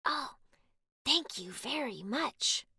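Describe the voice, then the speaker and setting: A woman's voice: sits high, animated, takes it slowly, noisy background. A woman co-hosting a playful children's reading lesson and reading character parts. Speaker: co-host and character reader.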